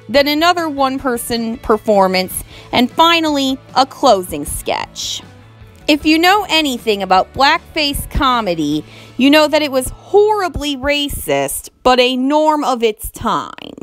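A woman hosting an educational video, reading a scripted narration over slides in a measured, explanatory way, with asides to the viewer. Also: high-pitched, fairly bright tone.

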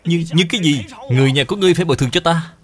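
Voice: mid-range voice, rapid-fire, close to an everyday voice. A performer voicing a rude, blustering male neighbour in a cartoon, bossy and whining. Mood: demanding. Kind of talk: cartoon dub acting.

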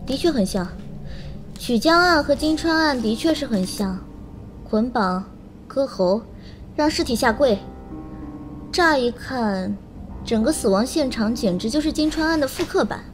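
Actress as chatty, sharp female forensic examiner, high voice, faint music behind, audio drama. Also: lively intonation, measured pace, pauses often, poor audio. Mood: curious, analytical. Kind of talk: Chinese audio drama acting.

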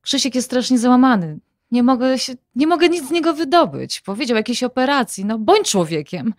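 Desperate wife, higher voice, dry sound. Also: female voice, very animated, a little fast, no echo. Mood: pleading. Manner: curt, exasperated. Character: desperate, irritated wife confronting a former lover. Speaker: actress. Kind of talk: radio drama dialogue.

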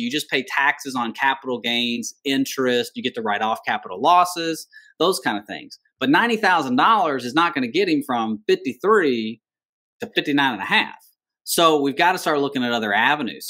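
A man in his thirties, a financial advisor, walking viewers through a retirement plan in a relaxed, conversational way, talking with his hands from a home office. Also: mid-range voice. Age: 30s. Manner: conversational, animated with hand gestures. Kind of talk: explaining retirement planning on screen share.

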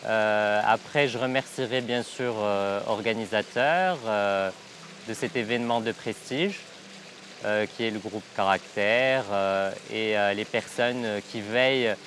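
Slow, hesitant Moroccan man, low voice. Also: fairly bright tone, a little hiss or hum. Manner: hesitant, many drawn-out "euh" fillers. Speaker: fashion designer. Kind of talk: interview to camera. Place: outdoor hotel garden by a pool.

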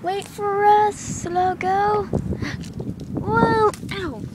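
A woman's voice: very high-pitched, very slow. Girl voicing toys, squealing, noisy phone audio. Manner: excited squeals, exaggerated character voices. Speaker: toy video maker voicing pets. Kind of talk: playful toy play acting. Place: backyard playground outdoors. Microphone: handheld phone camera. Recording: phone audio with background noise.

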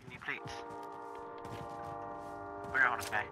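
A young man streaming a game, bantering casually with teammates, swearing and repeating himself when excited. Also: medium pitch, slow, over loud music. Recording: noisy game audio, gunfire and clicks. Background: gunfire and clicks.

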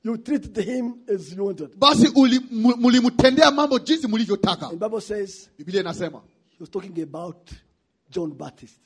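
A middle-aged man preaching emphatically into a handheld mic on a conference hall stage, pausing for an interpreter and calling for responses. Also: sits high, animated, breathy edge. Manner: emphatic, rising to shouts, calls for responses. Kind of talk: shouted sermon with live interpretation. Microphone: handheld microphone.

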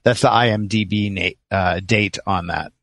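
A man's voice: sits low, fairly even intonation, normal speed, fairly bright tone, dry recording. A man talking casually as a co-host in a podcast discussion.

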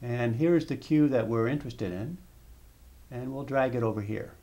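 Man narrating a software demo. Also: medium pitch, normal speed, gravelly, dull audio. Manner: steady step-by-step explaining with small restarts. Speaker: software demonstrator. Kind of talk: software tutorial screencast narration.

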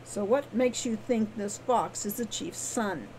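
A woman's voice: mid-range voice, unhurried, close to an everyday voice. Woman voicing a sarcastic, irritable male thief. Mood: scoffing, guarded. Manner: scoffing, deadpan, sarcastic. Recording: clearly noisy background.

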